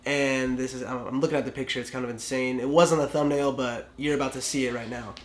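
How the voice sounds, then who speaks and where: A man's voice: medium pitch, steady tone, fast, roomy sound. A young man with a stuffy, congested voice, chatting casually as a vlog host and reading a story aloud. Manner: laid-back, chatty, frequent fillers. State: sick and congested.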